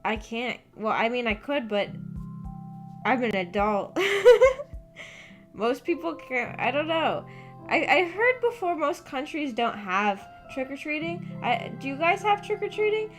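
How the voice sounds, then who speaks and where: A woman's voice: sits high, animated, a little slow. A young adult American woman chatting casually with viewers on a livestream, often hesitant and thinking aloud as she goes. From United States. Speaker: live streamer, visual novel book club host. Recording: background music under the voice. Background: background music.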